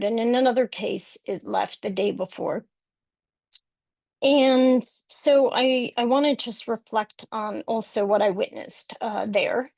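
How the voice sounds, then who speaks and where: A woman from Chicago, presenting at a webinar in a measured, reflective way, with frequent pauses and fillers. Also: medium pitch, vocal fry, a little mellow, no echo. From Chicago, United States. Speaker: academic panelist.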